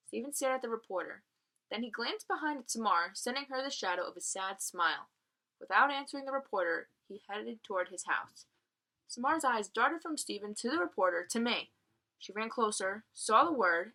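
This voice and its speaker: A woman with a clearly bright voice reads a children's novel aloud at a middling pitch. She narrates the text and slips into casual asides that explain it to young listeners. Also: lively intonation, moderate pace, rough recording. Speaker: reader of a children's novel read aloud, explaining as a teacher would.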